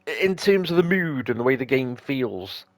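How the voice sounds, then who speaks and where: A man's voice: mid-range voice, expressive, normal speed, no room sound. A man hosting a gaming video, casually explaining play and reading tutorial text aloud in a rambling, explanatory way. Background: light game music.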